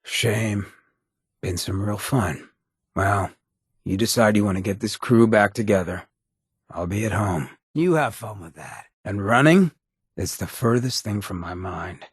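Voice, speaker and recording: Raspy, swaggering ghoul outlaw. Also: male voice, on the low side, measured pace, dry recording, studio quality. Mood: wry, playful. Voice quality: gravelly, raspy rasp. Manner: drawling street slang, cocky and laid-back. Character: roguish ghoul outlaw companion, swaggering, loyal, sardonic. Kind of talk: video game companion dialogue.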